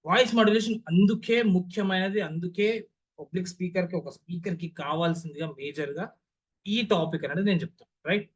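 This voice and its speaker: High-pitched young man teaching emphatically, Telugu accent. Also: quick pace, husky. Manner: emphatic, stressing key words, checking understanding. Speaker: public speaking trainer. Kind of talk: online class lecture with rhetorical questions.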